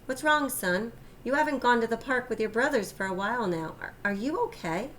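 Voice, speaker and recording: A woman reading the part of a caring father in a children's storybook, speaking gently and earnestly to encourage a child. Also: fairly high pitch, expressive, a little fast, a little hiss or hum, pitched above the performer's own voice. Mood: concerned.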